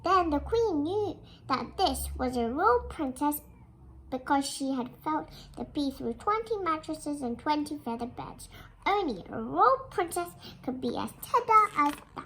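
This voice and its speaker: A child reading a fairy tale aloud in a careful, expressive storytelling manner, as a storybook narrator. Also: high-pitched, a little slow, a little hiss or hum, low-quality recording. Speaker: storybook reader.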